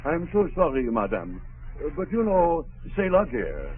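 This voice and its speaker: An actor playing a man who poses as a French cleaner, with a put-on French accent and halting, mock-courtly broken English in radio comedy. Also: fairly high pitch, wildly swooping pitch, slightly rough, phone-quality sound, full character voice. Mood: apologetic, courtly. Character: neighbor man impersonating a French male cleaner. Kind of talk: 1940s radio comedy acting. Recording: thin old radio recording, studio audience.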